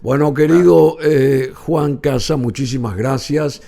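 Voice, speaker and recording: Paraguayan guy hosting a radio interview, gravelly dark voice, lots of ums. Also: medium pitch, slow pace. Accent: Paraguayan Spanish. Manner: warm, effusive thanks, rambling with many fillers. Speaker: radio host.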